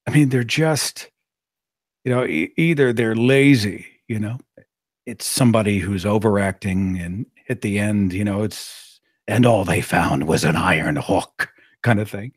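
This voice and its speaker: A middle-aged man with a raspy voice, hosting a casual live-stream chat and rambling along with plenty of fillers. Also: lowish voice, measured pace, no room sound, clean studio sound. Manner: rambling, conversational, with frequent fillers. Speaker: podcast host.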